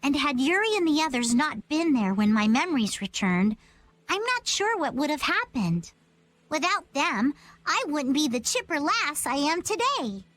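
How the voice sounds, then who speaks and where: A woman's voice: high voice, big pitch swings, moderate pace, a bit bright. A woman voicing a chipper, quirky young pirate girl in an anime game dub, self-assured and speaking in old-fashioned slang. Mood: playful, musing. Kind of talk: English-dubbed anime game voice acting. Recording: music under the voice. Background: music.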